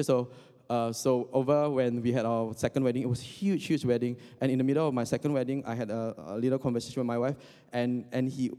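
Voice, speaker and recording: A man's voice: medium pitch, warm tone. A young man from Indonesia giving a casual, joking sermon, full of fillers and restarts. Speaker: preacher. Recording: long hall reverb.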